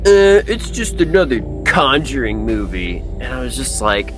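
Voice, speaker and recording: A man's voice: mid-range voice, big pitch swings, normal speed, over music. A man co-hosting a podcast in casual film chat, slangy and joking in his manner. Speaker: podcast co-host.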